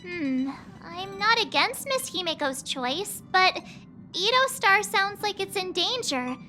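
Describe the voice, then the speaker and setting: An eager teenage adventurer girl from a video game talks in a very high, bright voice. She is perky and expressive, with intonation that jumps around. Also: takes it slowly, soft background music, full character voice. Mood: earnest. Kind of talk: video game character dialogue.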